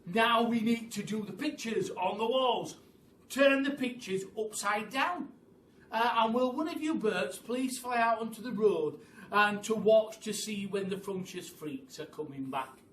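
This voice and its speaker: A man's voice: high voice, unhurried, fairly bright tone, voice pushed up. A man voicing an eager, bossy monkey leader in a children's storybook, giving urgent instructions. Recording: roomy, distant mic, faint hiss.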